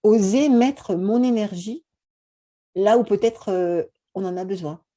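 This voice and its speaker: Earnest French woman with a very mellow voice. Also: medium pitch, a little slow. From France. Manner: earnest, talkative, many fillers. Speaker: host. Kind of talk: casual conversation.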